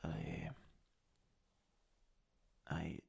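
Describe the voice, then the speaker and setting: A man with an Italian accent playing a teasing, soothing country-boy lover in an improvised ASMR roleplay, murmuring intimately in a breathy, raspy whisper. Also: darkish tone. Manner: slow, hesitant, intimate murmuring.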